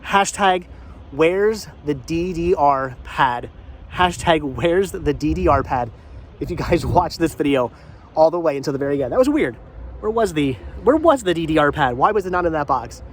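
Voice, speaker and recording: A man's voice: on the high side, lively intonation, moderate pace, a little hiss or hum. A middle-aged man with a congested voice chats casually to viewers as a vlog host, rambling and repeating his phrases. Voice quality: congested with a head cold.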